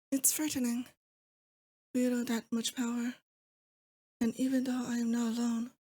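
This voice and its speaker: A mellow, dark-toned demon queen, acted by a woman, fairly high in pitch and very even in melody. She speaks intimately and unhurriedly in an ASMR roleplay, as a doting, wistful captor to her sweetheart. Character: possessive, nostalgic young demon lord woman, affectionate captor. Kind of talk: roleplay ASMR, intimate scripted monologue to the listener.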